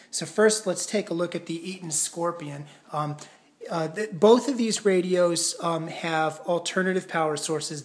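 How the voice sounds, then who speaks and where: Man casually demonstrating a product, hesitant. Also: a little high. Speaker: product reviewer.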